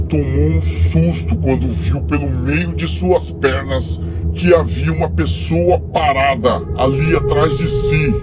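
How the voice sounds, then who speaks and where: A Brazilian man tells a scripted horror tale at a very slow pace. He leaves dramatic pauses between phrases. The recording is dull, with loud music running beneath his voice. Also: medium pitch. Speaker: storyteller. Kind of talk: scripted horror story narration.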